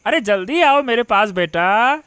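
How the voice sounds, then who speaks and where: A man's voice: high-pitched, animated, a little slow, a bit bright, smooth. A man playing a doting, guilt-ridden poor village father in a cartoon moral story, tender and fretful. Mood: tender, eager. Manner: tender, fretful, musing aloud.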